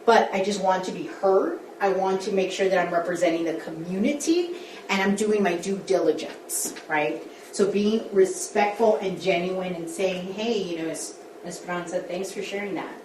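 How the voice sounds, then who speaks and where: A woman's voice: mid-range voice, normal speed, darkish tone, slight background noise. Candid woman coaching a board meeting. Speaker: school board member leading a training. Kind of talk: workshop discussion. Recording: echoey room, distant mic.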